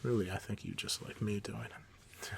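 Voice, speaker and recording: Low, creaky playful young villain, acted by a man. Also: steady tone, a little slow, a little hiss or hum. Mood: soft, relaxed. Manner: teasing, casual, chuckling banter. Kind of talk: ASMR roleplay, one side of a casual conversation. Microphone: close microphone.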